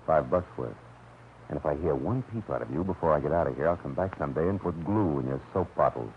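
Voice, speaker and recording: An actor playing a wisecracking hard-boiled private eye, narrating in a dry, terse manner in a 1940s radio drama. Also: male voice, a bass voice, animated, quick pace, slight background noise. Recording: tinny old radio broadcast with music.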